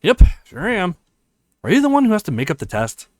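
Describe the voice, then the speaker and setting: Man putting on a bubbly, animated college girlfriend. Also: high-pitched, fairly quick, dry recording, voice pushed up. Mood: upbeat. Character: young college woman, teasing, affectionate girlfriend and teaching assistant.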